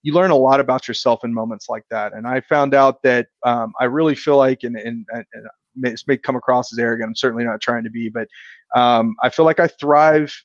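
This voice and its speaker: An earnest man in his thirties talks through his career in a relaxed interview, reflective and often restarting his sentences. The sound is dry and a little dull, with almost no room around the voice. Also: mid-range voice, brisk, no room sound. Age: 30s. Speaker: insurance agency owner, guest. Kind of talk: casual business interview.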